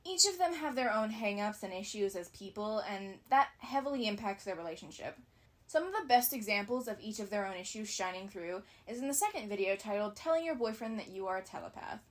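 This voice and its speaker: A woman's voice: mid-range voice, animated, brisk. A woman giving scripted analysis commentary as a video essayist, with casual asides.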